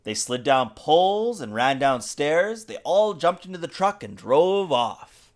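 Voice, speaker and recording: A father reading a picture book aloud to a young child, with expressive, dramatic emphasis. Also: on the high side, unhurried. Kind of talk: animated children's storybook narration. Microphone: USB desktop mic.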